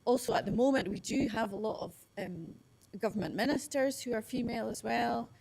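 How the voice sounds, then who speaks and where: A woman's voice: fairly high pitch, brisk, gravelly, darkish tone, husky. A woman speaking as a panelist at a conference, hesitant with many fillers. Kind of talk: panel discussion answer.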